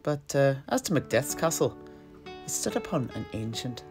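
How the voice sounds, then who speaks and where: A man telling a fantasy history in character, conversationally, with a hesitant, measured, theatrical delivery. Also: mid-range voice, big pitch swings, darkish tone. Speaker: in-character storyteller. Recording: music behind the voice. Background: plucked guitar music.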